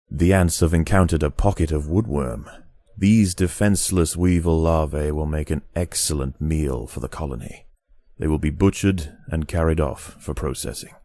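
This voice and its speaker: An extremely low, dark male voice narrates in the manner of a nature documentary. He is measured and grave with a touch of drama, recorded very dry and close. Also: no room sound. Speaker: game narrator.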